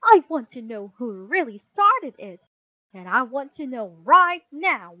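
A woman voicing an angry, exasperated storybook mother bear, shouting and scolding forcefully. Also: high voice, very animated, unhurried, no echo, pitched above the performer's own voice, heavily acted. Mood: stern, demanding.

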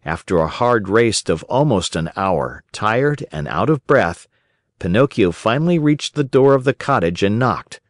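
A man narrating a children's story as an audiobook reader, in a gentle, expressive way. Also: fairly low pitch, a little slow, no echo, clean studio sound.

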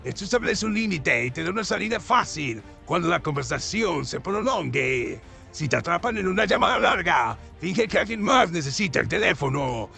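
Male narrator for parody how-to: bright, forceful, slightly high-pitched, sarcastic and mock-dramatic. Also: big pitch swings, moderate pace, gravelly, soft background music. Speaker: narrator of a comedy survival-tips show. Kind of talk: scripted narration, mock survival guide.

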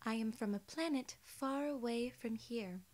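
A young woman playing a polite, curious alien gatekeeper in an ASMR roleplay, soft-spoken and partly whispering, with formal, quaint wording. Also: a little high, a little slow, natural voice. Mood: soft, welcoming. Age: young adult.

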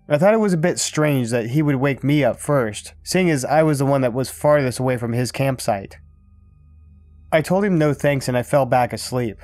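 A man narrates a creepy campfire tale in a steady, unhurried way. His voice sits at an ordinary middle pitch, recorded close and dry. Faint music plays underneath. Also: dry recording. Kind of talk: scripted horror story narration.

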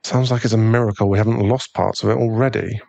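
A man playing an affectionate, aristocratic British werewolf fiancé in an ASMR boyfriend audio roleplay, speaking in a gentle, polite, unhurried way. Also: lowish voice, fairly even intonation, gravelly. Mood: businesslike, calm.

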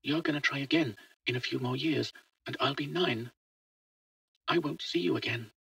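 A man's voice: mid-range voice, normal speed, vocal fry, a bit bright, slightly rough, dry recording, recorded from a distance, voice pushed up, poor audio. A man voicing a fearless, chatty eleven-year-old boy who talks simply and matter-of-factly in a story. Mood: pensive. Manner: simple, childlike, matter-of-fact. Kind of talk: audio short story dialogue.

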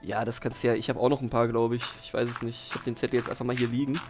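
A man's voice: mid-range voice, little pitch movement, very fast. A young man hosts a gaming session in a casual, joking way. His banter is ironic and teasing, with game music playing behind him. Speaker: Let's Play host. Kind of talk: casual joking gameplay chat.

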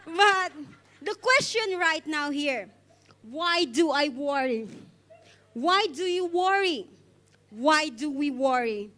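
A woman's voice: sits high, animated, slow pace, pushed and bright. A young woman with a Filipino accent giving an earnest devotional talk and prayer to a congregation on a handheld microphone. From Philippines. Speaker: church speaker leading offering. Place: indoor church stage.

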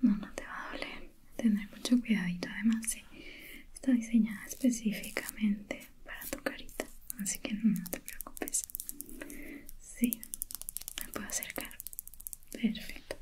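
A woman in a whispered ASMR spa roleplay, playing a gentle, reassuring facialist with a soft, breathy voice. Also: mid-range voice, steady tone, moderate pace, long pauses. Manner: gentle, reassuring, uses affectionate diminutives.

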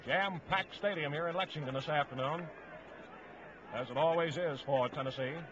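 A man's voice: a little high, normal speed, lo-fi sound, noisy background. A man calling play-by-play for a 1950s radio football broadcast in clipped, staccato phrases. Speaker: play-by-play sports announcer. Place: stadium broadcast booth.

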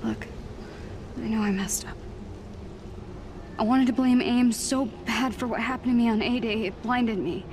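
Woman playing an earnest teenage superhero girl, higher-pitched. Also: background noise, lightly acted. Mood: earnest, pleading. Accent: American. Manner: earnest, halting, heartfelt. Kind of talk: dramatic game cutscene acting.

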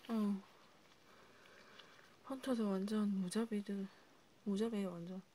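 Woman playing a hushed, mellow vampire healer. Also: mid-range voice, steady tone, a little hiss or hum, natural voice. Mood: hushed, concerned. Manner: hushed, unhurried, murmuring with long pauses. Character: caring female vampire healer treating a wounded vampire. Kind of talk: ASMR roleplay, soft and whispered.

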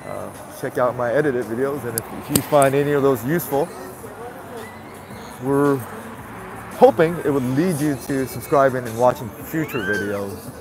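A man's voice: medium pitch, sing-song, moderate pace, background noise. Chatty stuffy-nosed man vlogging outdoors. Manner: chatty, repeats greetings, talks to viewers. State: stuffy nose. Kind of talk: casual live-stream walking commentary. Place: city sidewalk outdoors at night. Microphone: handheld phone camera.

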